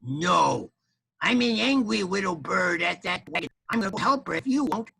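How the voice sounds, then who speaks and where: A man's voice: high-pitched, lively intonation, normal speed, creaky, bright, forceful tone, slightly rough, no echo, voice pushed up, full character voice. A man voicing a brave, indignant little baby chicken in a children's story, earnest and scolding.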